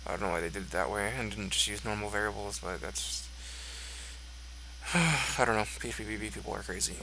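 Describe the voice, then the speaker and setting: A man's voice: lowish voice, brisk, pushed and bright, a little hiss or hum, rough recording. A congested man thinking aloud as he walks through code on screen, musing and offhand. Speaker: code reviewer. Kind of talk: screen-recorded code walkthrough.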